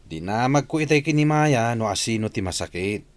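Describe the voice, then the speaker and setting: Animated, nosy male servant played by an actor. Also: medium pitch, a little fast, lightly acted. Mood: gossiping. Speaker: radio drama actor. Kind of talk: Ilocano comedy-drama radio acting.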